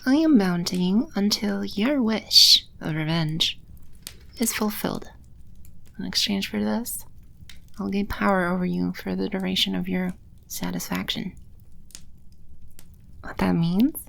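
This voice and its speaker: A woman playing a playful, teasing demon empress in an ASMR audio roleplay, speaking with unhurried, coy confidence. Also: mid-range voice, gravelly, a bit bright, slight background noise. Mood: businesslike, teasing. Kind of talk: ASMR audio roleplay, spoken close to the listener.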